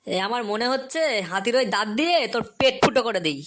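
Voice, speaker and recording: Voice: medium pitch, lively intonation, fast talker, fairly bright tone, close to an everyday voice. An actor playing an irritable, scolding schoolteacher in a comedy cartoon, questioning and threatening the pupils in an exasperated way. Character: irritable, scolding school teacher, addressed as sir.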